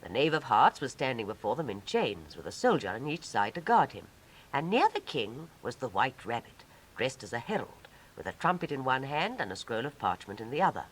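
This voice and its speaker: Very low-voiced, expressive English woman narrator. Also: fairly quick, husky, poor audio. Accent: British Received Pronunciation. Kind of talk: scripted storybook narration, 1950s.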